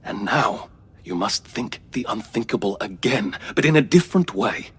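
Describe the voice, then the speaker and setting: Zealous, lively alien peacemaker. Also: male voice, lowish voice, moderate pace, breathy edge. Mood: probing, persuasive. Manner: impassioned, persuasive oratory. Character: earnest, zealous alien Time Lord peace negotiator. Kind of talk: audio drama acting.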